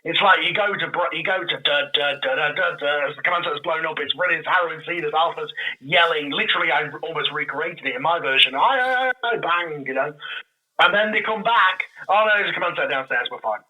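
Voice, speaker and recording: A man talking casually and enthusiastically as a podcast guest, explaining things in an animated, rambling way. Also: fairly high pitch, quick pace, lo-fi sound. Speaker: podcast guest, audio drama creator. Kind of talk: casual, enthusiastic fan chat.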